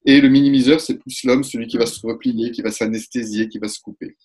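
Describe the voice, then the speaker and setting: Couples therapist in his 40s, husky voice, lively, webcam call at home. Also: mid-range voice. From Italy. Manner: animated, gestures a lot, acts out quick exchanges. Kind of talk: explanatory webinar talk. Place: room at home. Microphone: webcam on a video call.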